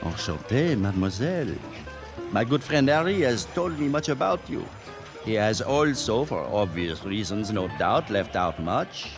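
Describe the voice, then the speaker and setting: Flirtatious Frenchman, French accent, low register, very animated delivery, courtly radio-drama charm over music. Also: a little slow. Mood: suave, charmed. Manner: suave, courtly, theatrical. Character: charming, flirtatious Frenchman hosting at a ski lodge.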